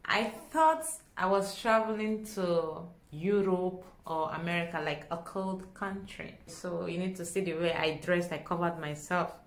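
A young woman with a Nigerian accent tells a story to camera in a dramatic, expressive way, acting out dialogue as she goes. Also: lowish voice, unhurried, few pauses. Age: young adult. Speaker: vlogger. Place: small indoor room at home. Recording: echoey small room.

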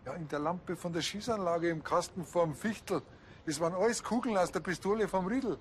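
An actor playing a talkative, earnest police officer with a Bavarian accent in a TV crime comedy. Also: male voice, high-pitched, brisk, a little hiss or hum, close to an everyday voice. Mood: eager explaining.

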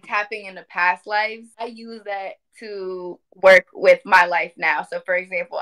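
A woman in her twenties talking casually on a livestream, animated and emphatic, often repeating phrases for emphasis. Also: fairly low pitch, poor audio. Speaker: spiritual community speaker. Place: indoor room at home.

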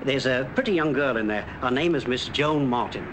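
A man's voice: medium pitch, animated, brisk, lightly acted. An actor playing a friendly, folksy, plain-spoken middle-aged working man in a 1940s film. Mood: earnest. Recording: noisy old 1940s film soundtrack.

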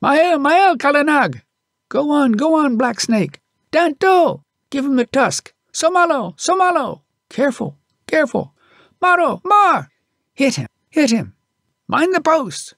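A man voicing an excited little boy in an audiobook, yelling encouragement to an elephant. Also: a little slow, no room sound, clean studio sound, full character voice. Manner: high-pitched shouted cries of encouragement. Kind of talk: audiobook character voice.

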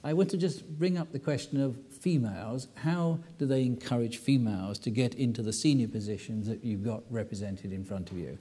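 A middle-aged man moderates a panel on a conference stage and introduces the speakers with easy, joking asides. His voice is dark and mellow, with a husky edge. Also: mid-range voice, fairly quick, hardly any pauses. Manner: relaxed and joking, with fillers. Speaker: panel chair. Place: large hall, conference stage.

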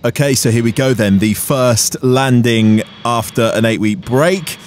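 A man's voice: mid-range voice, a little slow, a little hiss or hum. An Englishman in his thirties, a pilot vlogging about flying in a matter-of-fact way. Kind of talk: vlog narration and cockpit talk. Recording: faint engine drone behind.

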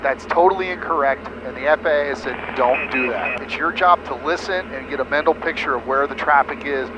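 A man's voice: medium pitch, brisk, highs cut off. A middle-aged American man giving hands-on flight instruction, explaining things step by step over a headset. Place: small airplane cockpit in flight. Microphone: aviation headset. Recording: headset audio with engine noise.

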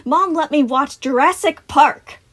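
A woman voicing a bold, inquisitive little boy in a narrated story, insistent and excitable. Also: very high-pitched, moderate pace, pitched above the performer's own voice, exaggerated voice. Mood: boastful.

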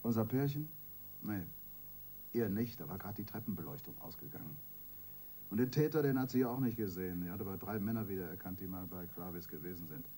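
Low, mellow, sardonic police detective. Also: male voice, expressive, brisk, slight background noise. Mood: musing. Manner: colloquial, dry, musing, with tag questions. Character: seasoned, sardonic male police detective superior. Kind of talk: radio crime drama acting.

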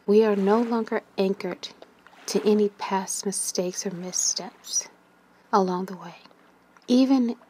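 A woman leading a guided meditation in a gentle, soothing manner, with long pauses and breathy phrase endings that trail away. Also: mid-range voice, fairly even intonation, a little hiss or hum.

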